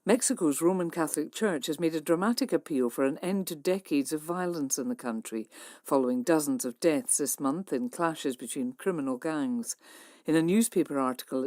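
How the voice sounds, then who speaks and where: A woman newsreader delivering a radio news bulletin in a crisp, formal way. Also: deep voice, darkish tone, no echo, clean studio sound.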